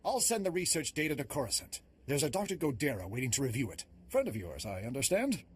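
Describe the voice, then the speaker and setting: Husky, animated Republic official. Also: male voice, medium pitch, fast talker. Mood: firm. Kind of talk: video game voice acting.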